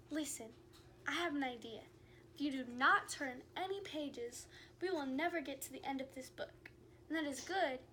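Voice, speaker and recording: A woman's voice: high-pitched, sharp tone, slight background noise, exaggerated voice. A woman voicing an anxious, pleading furry male monster in a children's book reading. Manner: frantic pleading storybook reading. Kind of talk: children's book read-aloud.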